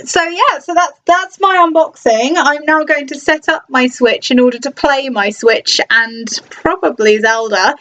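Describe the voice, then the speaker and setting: A woman's voice: sits high, fairly bright tone. A young woman, chatting casually in a home vlog, hesitant and full of restarts. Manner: hesitant, lots of restarts and ums. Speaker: cosplayer and vlogger. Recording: slightly dull lo-fi sound.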